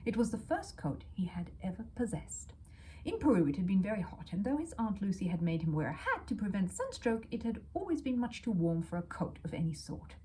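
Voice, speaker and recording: A woman reading a children's story aloud as an expressive narrator. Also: mid-range voice, fairly quick, slight background noise, poor audio.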